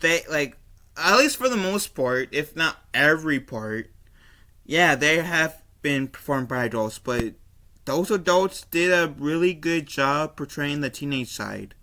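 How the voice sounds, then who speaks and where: A man giving casual opinion commentary as a video host, halting and often repeating and rephrasing himself. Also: on the high side, animated, bright, forceful tone. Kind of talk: casual opinion commentary, reading an article aloud.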